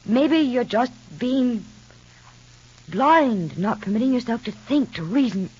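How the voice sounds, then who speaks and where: An actress in an old radio soap opera playing a frank, insistent, unhappily married woman, speaking earnestly and deliberately. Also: a little high, lively intonation, a little mellow, breathy edge, heavily acted. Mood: firm, insistent. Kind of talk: 1940s-50s radio soap opera acting. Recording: muffled old radio recording.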